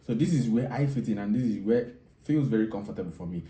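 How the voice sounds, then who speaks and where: Deep, mellow man in a roomy echo. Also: animated, fast talker. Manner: emphatic, repeats key points. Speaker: video host, software developer. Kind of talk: direct-to-viewer advice monologue. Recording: roomy echo, distant mic.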